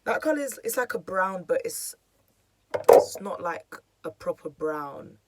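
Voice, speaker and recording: A young woman with a hoarse, croaky voice, chatting casually through a makeup tutorial with lots of fillers. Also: lowish voice, big pitch swings, a little slow, very noisy. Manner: chatty, filler-heavy, self-deprecating. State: hoarse, losing her voice. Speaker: beauty vlogger.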